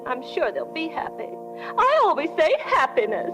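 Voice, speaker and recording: A woman's voice: sits high, huge pitch swings, normal speed, over music, poor audio. An actress playing a chatty, sentimental small-town woman in an old radio drama. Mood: gushing, sentimental.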